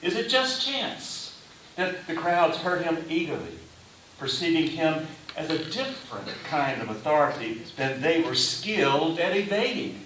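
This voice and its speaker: A man preaching a sermon in a deliberate way, with rhetorical questions and emphatic short phrases. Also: a little high, very animated, a bit bright. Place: reverberant indoor room before a congregation. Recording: echoey room, distant mic, faint hiss.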